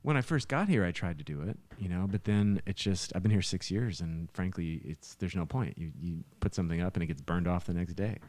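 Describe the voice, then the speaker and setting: A man co-hosting a podcast as a musician, telling things casually in a halting, stop-and-start way. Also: low-pitched, a little mellow. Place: living room with soundproofing.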